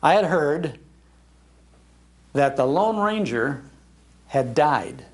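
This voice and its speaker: A man's voice: a little high, expressive, drawn-out words. An older American man giving a nostalgic, enthusiastic storytelling talk about the past. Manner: enthusiastic, acts out quoted lines. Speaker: speaker on old-time radio history. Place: public library talk.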